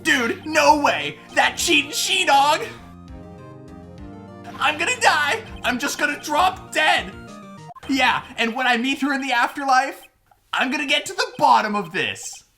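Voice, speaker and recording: A man voicing an excitable, whiny young slacker, slangy and dramatic, in a video game fan dub. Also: very high voice, measured pace, faint music behind, pitched above the performer's own voice, full character voice. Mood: outraged, wailing.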